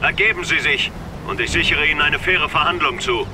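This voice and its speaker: An actor playing a calm, authoritative male commander-in-chief who speaks in a cool, composed way. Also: fairly high pitch, a little fast, noisy background, lightly acted. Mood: cool authority.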